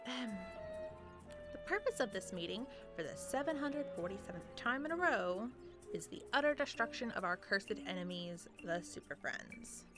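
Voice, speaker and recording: A woman's voice: medium pitch, lively intonation, moderate pace, music in the background, close to an everyday voice. A woman playing a dry, sarcastic villain who serves as meeting secretary in a comedic radio play. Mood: dry, official. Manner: dry, sardonic.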